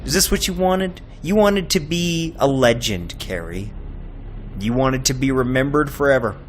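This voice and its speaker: A man voicing a sinister, gloating devil in a horror story, mocking with drawn-out questions and sudden swells. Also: mid-range voice, expressive, a little hiss or hum, voice pushed up, lightly acted. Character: sinister taunting devil, gloating master collecting a soul. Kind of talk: creepypasta villain monologue.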